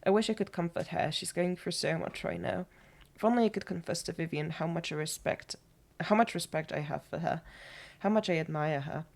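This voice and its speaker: A woman streaming a game in a mixed Polish and British accent that comes across as American. She reads case files and diary pages aloud, thinking out loud and catching small slips as she goes. Her voice sits low with a gravelly fry. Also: fairly quick. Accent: mixed Polish and British, American-sounding. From Poland. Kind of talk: game stream commentary, reading in-game notes aloud.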